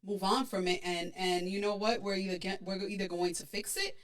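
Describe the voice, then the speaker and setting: A woman's voice: mid-range voice, steady tone, brisk. A woman co-hosting a podcast, chatting casually and reading out audience comments, with many restarts and fillers. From Puerto Rican background. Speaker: podcast co-host.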